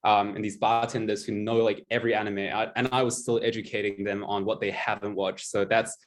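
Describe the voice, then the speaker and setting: A young man with a New Zealand accent presents over a video call in a relaxed, chatty way, teasing himself and filling pauses with ums. His voice sits fairly low. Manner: chatty, self-mocking, many ums. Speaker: guest presenter, tutor. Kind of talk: casual online presentation.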